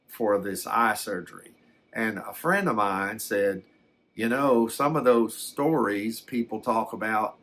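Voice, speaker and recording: An older man telling a personal story in a casual, unscripted way, halting and repeating words as he reflects. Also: on the low side, fairly bright tone. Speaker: storyteller recounting a personal experience.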